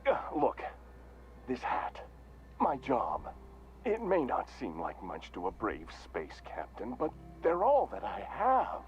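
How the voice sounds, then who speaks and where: Deep-voiced, swooping corporate mascot vendor. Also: male voice, sing-song, unhurried, highs cut off, faint music behind. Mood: weary, resigned. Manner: forced salesman cheer with slogans, slipping into resignation. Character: cheerful corporate vendor in a mascot helmet, weary underneath. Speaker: shop vendor. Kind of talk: video game voice acting, salesman patter.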